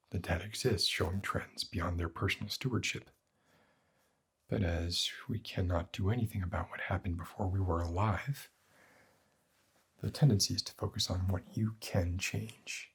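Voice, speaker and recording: A man playing a morbid, cynical doctor in an ASMR roleplay, lecturing in a pedantic, deliberate and bleak way with a husky voice. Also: a bit bright, exaggerated voice. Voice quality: husky, breathy, low. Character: morbid, cynical male doctor treating depression with despair.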